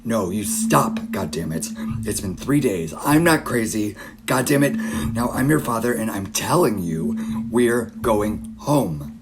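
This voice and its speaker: A man playing a distraught, obsessive middle-aged father in an audio drama, haunted, halting and repeating himself. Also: lowish voice, lively intonation, heavy background noise. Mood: angry, frantic. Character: distraught obsessive father, a searcher recording his nightmare. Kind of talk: audio drama monologue and dialogue.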